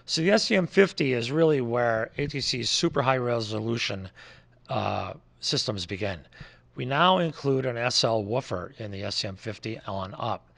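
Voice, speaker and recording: A man's voice: mid-range voice, animated, normal speed, vocal fry, a bit bright. A man gives an informal, conversational product overview, explaining things as a distributor would. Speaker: loudspeaker distributor presenting products.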